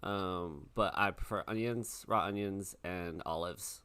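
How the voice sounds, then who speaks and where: A man's voice: deep voice, unhurried, sharp tone, clear, resonant tone. A man chatting casually as a player on a tabletop gaming podcast. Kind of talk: casual chat.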